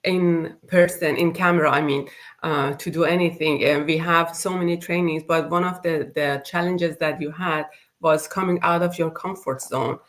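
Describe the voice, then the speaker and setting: A woman hosting a casual group chat, speaking in a halting way with many fillers. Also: low-pitched, steady tone. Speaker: host.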